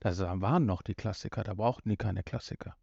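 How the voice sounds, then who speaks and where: A man's voice: lowish voice, fast talker, gravelly, dark tone, husky, dry recording. Guy joking around on a podcast, playful, close dry sound, a bit dull. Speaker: podcast host. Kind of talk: casual, joking podcast chat.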